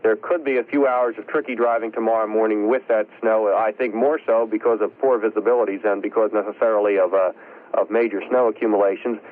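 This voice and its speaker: A man's voice: lowish voice, fairly even intonation, quick pace. A man giving an unscripted, explanatory live weather forecast over a phone line on an old radio broadcast.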